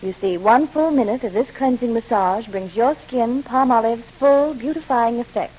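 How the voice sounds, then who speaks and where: A woman's voice: on the high side, normal speed, a little mellow, slightly rough. A woman reading a scripted 1940s radio commercial in an instructional, persuasive way. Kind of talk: scripted 1940s advertising read. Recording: muffled vintage radio broadcast.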